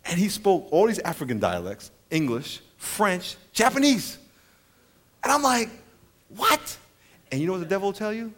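Male pastor, high voice, animated storyteller mixing jokes and preaching. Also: moderate pace. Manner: animated storyteller, acts out voices, laughs often. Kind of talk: sermon with comic stories.

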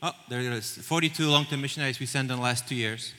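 A man's voice: medium pitch, quick pace, pushed and bright. A man from Poland, telling mission stories earnestly during an onstage church interview. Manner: earnest, storytelling, with small restarts. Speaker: missions leader. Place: large church auditorium. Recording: reverberant church hall.

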